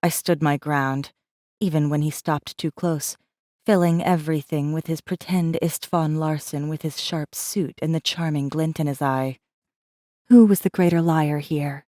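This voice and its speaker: Low, mellow woman guarded rebel. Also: fairly even intonation, measured pace, no room sound, clean studio sound. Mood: wary, defiant. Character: guarded, defiant young woman, human rebel messenger. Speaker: audiobook narrator. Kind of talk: first-person scripted narration, audiobook.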